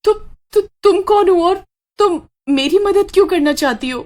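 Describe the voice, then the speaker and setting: A woman's voice: very high-pitched, normal speed, a little mellow, pitched above the performer's own voice. A woman voicing a frightened but brave young wife in a horror story, with an urgent, anxious manner. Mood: startled.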